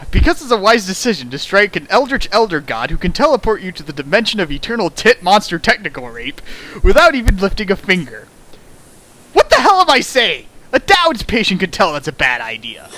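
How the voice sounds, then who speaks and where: Animated man riffing a fanfic aloud. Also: high voice, low-quality recording. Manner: sarcastic, exasperated, shifts into mock voices. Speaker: fanfic reader and riffer. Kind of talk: dramatic reading with mocking commentary. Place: home streaming setup.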